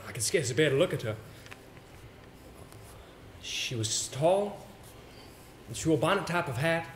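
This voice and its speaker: Man playing a high-pitched, theatrical, courteous gentleman. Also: expressive, moderate pace, natural voice. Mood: concerned, unsettled. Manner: formal, theatrical, hesitant phrasing. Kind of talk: stage play acting. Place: stage in a theatre. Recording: roomy, noisy stage play recording.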